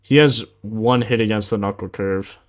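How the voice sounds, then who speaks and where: Young male sports betting streamer, lower-pitched, informal and slangy, off-the-cuff commentary. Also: normal speed. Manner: slangy, informal, thinking aloud. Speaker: sports betting livestream host. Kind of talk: casual livestream chat and commentary.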